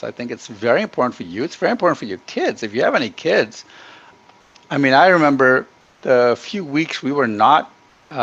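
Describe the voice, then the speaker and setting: A middle-aged man from Ghana giving earnest, opinionated interview answers, emphatic and halting as he restarts his sentences. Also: medium pitch, gravelly, a bit bright. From Ghana, grown up in Africa and the Middle East. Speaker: podcast guest. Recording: dull, muffled lo-fi connection.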